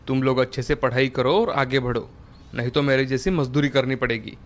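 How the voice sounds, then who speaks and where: An actor playing a poor, hardworking village laborer who is a kind father, speaking in a gentle, earnest way in an animated moral story. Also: medium pitch, a little fast, natural voice.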